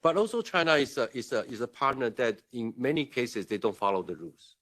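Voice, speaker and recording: A man's voice: medium pitch, expressive, fairly quick, a bit bright. A man from Taiwan, speaking as a diplomatic representative at a conference, earnest and emphatic with frequent restarts. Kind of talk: conference speech. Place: conference room at a parliament.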